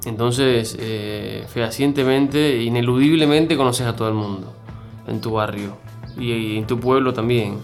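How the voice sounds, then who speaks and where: A man's voice: mid-range voice, steady tone, measured pace, soft background music. A middle-aged man from Cuba with a mixed Cuban and Argentine accent, talking as an interview guest with many fillers and restarts. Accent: Cuban with Argentine mix. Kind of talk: conversational interview.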